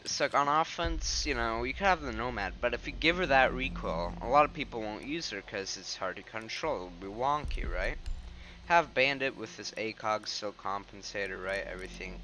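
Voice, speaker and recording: A man's voice: lowish voice, moderate pace, noisy background. A young man giving casual, rambling commentary over gameplay, sounding a bit congested. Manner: rambling, filler-heavy, pointing at footage. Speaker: gaming commentator. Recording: game sounds and gunfire behind.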